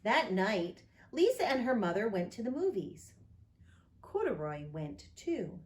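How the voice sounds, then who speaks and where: A woman reads a children's story aloud with expressive, very varied intonation. She takes her time, and the room around her is audibly echoey. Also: mid-range voice, a little slow, slight background noise. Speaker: pastor reading a children's story. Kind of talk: picture book read aloud.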